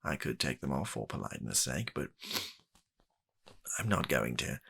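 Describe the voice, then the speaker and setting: A British man softly playing a polite, fussy gentleman matchmaker in a comedic ASMR roleplay, hesitating and repeating his reassurances. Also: extremely low pitch, very animated, normal speed, exaggerated voice. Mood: polite, explaining. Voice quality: gravelly, soft-spoken.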